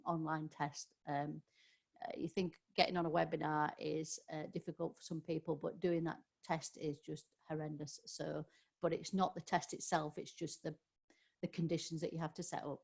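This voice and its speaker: A middle-aged woman with a Yorkshire accent leads an online training session. Her voice sits a little low, and her delivery is loose and conversational, full of fillers and self-corrections. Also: little pitch movement, moderate pace, creaky, darkish tone. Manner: chatty, many ums and restarts. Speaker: webinar trainer. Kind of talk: live online webinar presentation.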